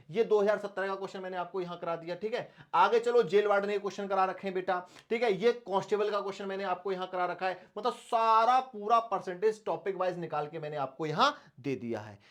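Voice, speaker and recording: A man's voice: sits high, fairly bright tone. Male coaching instructor. Directs students step by step, restates key points, addresses them fondly. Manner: addresses students affectionately, instructive, repeats points, asks rhetorical questions. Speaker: maths teacher for exam preparation. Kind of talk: online coaching lesson, strategy talk to students.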